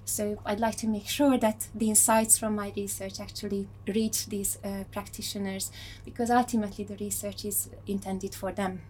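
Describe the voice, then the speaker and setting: Flat-voiced female researcher, faint background noise. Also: mid-range voice, moderate pace. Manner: careful, steady explanations. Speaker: language acquisition researcher. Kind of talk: academic discussion.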